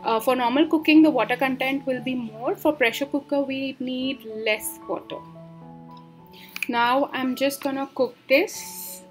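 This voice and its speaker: A young woman giving calm step-by-step cooking instructions as a voice-over for a home meal prep video. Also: high-pitched, measured pace. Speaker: cooking vlogger. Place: home kitchen, indoors. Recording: voice-over over clear background music. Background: background music.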